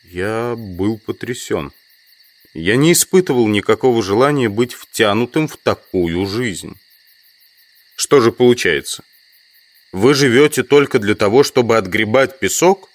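An actor playing an educated, sceptical outsider in an audio drama, speaking in measured, literary phrases. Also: male voice, on the low side, lively intonation, dry recording. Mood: challenging. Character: educated man, reflective, sceptical outsider trapped in sand village. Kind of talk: audio drama acting with first-person narration.

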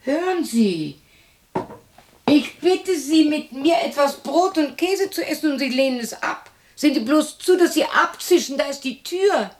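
A woman's voice: high voice, animated, unhurried. An actress playing a lonely, cantankerous widow in her sixties in a radio drama monologue, muttering to herself and snapping. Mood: offended. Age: 60s. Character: lonely, cantankerous sixty-year-old German widow talking to herself.

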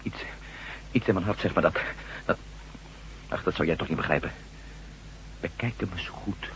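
A man's voice: low-pitched, normal speed, fairly bright tone, rough recording. A man acting a troubled, earnest and stubbornly principled hero in a radio play. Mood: firm, intense. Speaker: actor in a radio drama. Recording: hissy, humming radio recording.

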